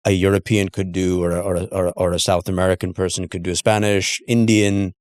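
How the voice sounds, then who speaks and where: Man, middle-aged, slightly low pitch, dry sound, casual interviewer, halting phrasing, repeated words. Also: level tone, a little mellow, dry recording. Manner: halting, searching for words with repetitions. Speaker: interview host.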